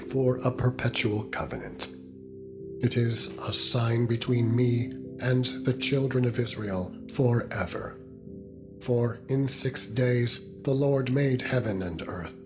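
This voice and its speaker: A man narrating a dramatized Bible reading in a solemn, measured manner. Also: medium pitch. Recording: music under the voice. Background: background music.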